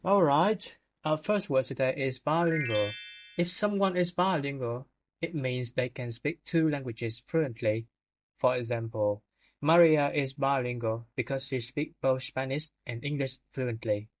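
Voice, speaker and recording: A man's voice: medium pitch, measured pace. Guy reading vocab definitions for a podcast lesson, trips on a word now and then. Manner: reads definitions with small stumbles. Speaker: podcast co-host.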